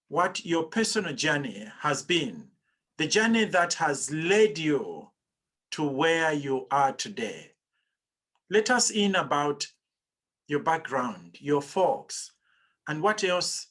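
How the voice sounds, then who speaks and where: A man hosting an interview, introducing his guest formally with a slow, measured delivery and the odd hesitation. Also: a little high, fairly bright tone. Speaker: host.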